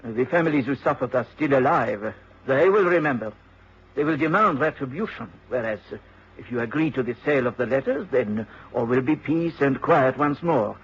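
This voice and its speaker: An actor playing a suave, menacing blackmailer in a radio drama, with a husky voice and a smooth, insinuating, formal manner. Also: male voice, medium pitch, moderate pace. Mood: smooth menace. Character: suave, menacing French blackmailer, tall dark man. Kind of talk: British radio drama acting. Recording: dull old radio drama recording.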